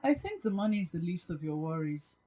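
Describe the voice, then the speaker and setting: A woman playing a gracious, composed and caring office boss in an audio drama, politely attentive and gently probing. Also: on the low side, sing-song, brisk. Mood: concerned, probing. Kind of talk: acted audio drama dialogue.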